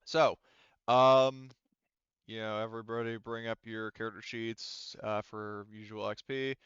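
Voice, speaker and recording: A man's voice: lowish voice, level tone, unhurried, a bit bright, clear, resonant tone, no echo, muffled recording. A man casually running a tabletop sci-fi game as game master, talking informally and swearing freely. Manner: informal, profane.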